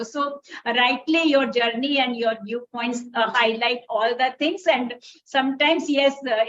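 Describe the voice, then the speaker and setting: A woman with an Indian accent hosting a conversational interview, commenting at length in halting, wordy phrases. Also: fairly high pitch, fairly bright tone. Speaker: interview host, doctor. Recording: dull, lo-fi audio.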